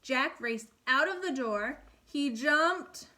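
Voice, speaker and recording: A woman's voice: high-pitched, lively intonation, slow, bright, forceful tone. A woman speaking as an elementary teacher, reading and explaining expressively to young children with guiding questions. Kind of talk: read-aloud and lesson for young children.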